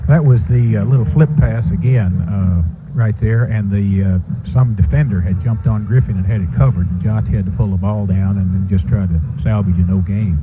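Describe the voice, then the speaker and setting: Male American football analyst, conversational, muffled broadcast audio over clear stadium noise. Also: lowish voice, normal speed, hardly any pauses. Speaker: color commentator. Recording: dull noisy stadium radio broadcast. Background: stadium ambience.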